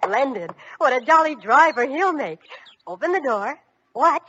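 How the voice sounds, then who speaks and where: Casting: benevolent magical godmother who takes charge, slightly high voice, theatrical storybook radio drama on a faintly noisy vintage recording. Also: big pitch swings, unhurried, lo-fi sound. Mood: merry, commanding. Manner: theatrical, reassuring, instructing. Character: kindly, commanding fairy godmother working magic. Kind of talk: old-time radio children's drama acting.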